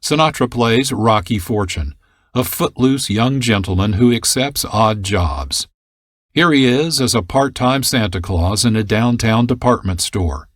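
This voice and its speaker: A man hosts a radio program with scripted narration. His voice is low, recorded very dry in a studio. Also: no echo. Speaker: radio show host.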